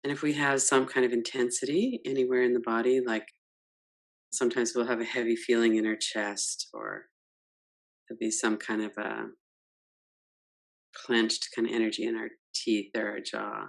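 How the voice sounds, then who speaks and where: A woman leading a guided yoga nidra meditation, gentle and unhurried, guiding with soft questions. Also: extremely low pitch, creaky. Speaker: meditation teacher.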